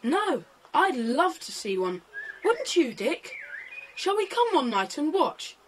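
A woman playing a bold, confident, adventurous child with an English accent and a brisk manner in a children's audio drama. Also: high voice, big pitch swings, noisy background, exaggerated voice. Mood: curious, eager. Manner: brisk, plucky.

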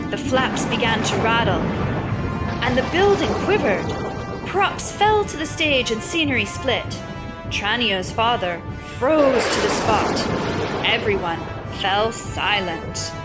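A woman narrates a children's story with a very lively, slightly high voice. She reads expressively and dramatically. Loud music plays beneath her. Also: takes it slowly. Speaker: storyteller reading a children's book aloud. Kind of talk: expressive picture-book read-aloud narration. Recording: loud music and crowd sounds underneath. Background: music and crowd noise.

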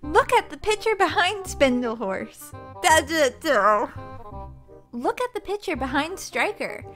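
A woman's voice: sits high, sing-song, unhurried, fairly bright tone, faint music behind. A woman reading scripted fact-list narration as a video narrator, sometimes flubbing her lines. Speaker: video narrator presenting episode facts.

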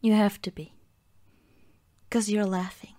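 A woman playing a playfully whiny, pouting girlfriend in an improvised ASMR roleplay, with halting, giggly, mock-sulky pleading. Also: mid-range voice, flat delivery, dark tone, no echo, close to an everyday voice. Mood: pouty, needy.

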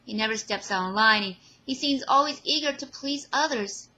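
A woman voicing an excitable, confident teenage girl in a fan audio drama, with gushing, emphatic delivery. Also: a little high, moderate pace, pitched above the performer's own voice.

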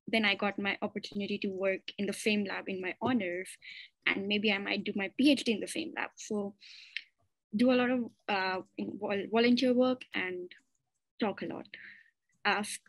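A woman's voice: medium pitch, no echo, rough recording. Earnest young woman student, dry sound. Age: young adult. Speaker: honours student. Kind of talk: casual advice to future students.

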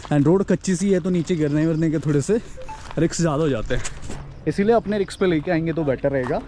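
A man's voice: on the high side, fast talker, dark tone. A young man giving a casual walk-and-talk travel vlog outdoors at a hillside campsite, chatting and mixing English words into his speech. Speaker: travel vlogger. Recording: faint outdoor noise.

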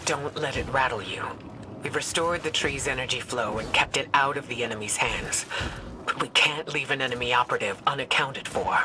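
An actor playing a composed intelligence commander in a video game, measured, earnest and authoritative. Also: male voice, mid-range voice, background noise, natural voice. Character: composed male intelligence commander, steady and reassuring. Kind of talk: dramatic video game acting.

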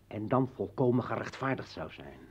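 An actor playing a bitter, menacing revolutionary ex-husband in a radio play, with a taunting, rhetorical manner. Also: male voice, on the low side, big pitch swings, moderate pace. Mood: menacing, loud. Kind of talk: Dutch radio play acting. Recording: dull, echoey old radio recording, distant.

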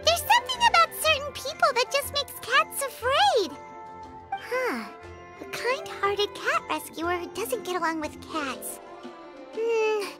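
Game sidekick: tiny blunt fairy, very high and bouncy, talks about herself in third person. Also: female voice, very animated, a little slow, over loud music, exaggerated voice. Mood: teasing. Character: tiny floating female fairy companion, chatty and blunt. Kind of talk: video game voice acting.

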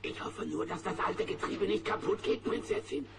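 An actor playing a gruff, brave bear posing as a knight, with a gravelly voice, in a German cartoon audio play. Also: male voice, sits high, big pitch swings, normal speed. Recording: very noisy old cassette recording.

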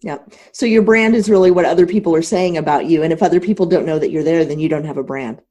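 A woman interviewing on a podcast in an articulate, measured conversational way. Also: sits low, warm tone.

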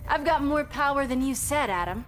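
An actress playing an earnest young superheroine in a video game, indignant and determined. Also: female voice, sits high, expressive, normal speed, soft background music. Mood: defiant.

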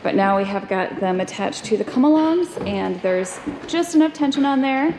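A woman's voice: medium pitch, animated, normal speed. A woman in her thirties casually explaining a boat build step by step, filming herself with a camera held at arm's length in a large covered shed. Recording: noisy shed recording.

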